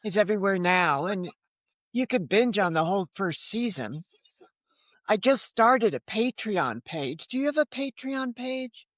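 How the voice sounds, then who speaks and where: A woman's voice: medium pitch, animated, measured pace. Older american lady in her 70s on a podcast, chatty and emphatic, no room echo. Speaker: podcast guest, novelist. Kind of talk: casual interview conversation.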